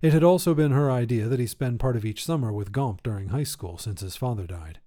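A man's voice: mid-range voice, animated, brisk, dark tone. A man narrating a scripted short story as its reader.

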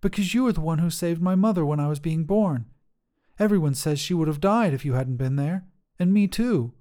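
A man's voice: fairly high pitch, brisk, dark tone, voice pushed up, natural voice. A man voicing a frank, fearless six-year-old village girl in a narrated story, with simple, matter-of-fact phrasing. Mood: frank, curious.

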